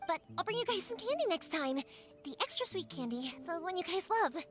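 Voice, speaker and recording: Bold young game heroine, very high voice, lively pitch, caring, earnest, light music behind. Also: female voice, fairly quick. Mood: friendly, apologetic. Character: spirited, caring, bold young woman fireworks maker. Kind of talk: video game voice acting.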